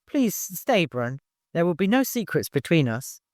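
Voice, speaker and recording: A man's voice: on the high side, sing-song, a little slow, a little mellow, dry recording, clean studio sound, voice pushed up. A man voicing a dutiful, careful steward in an audiobook, frank and formal in manner. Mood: firm. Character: dutiful, careful male steward leading a mission.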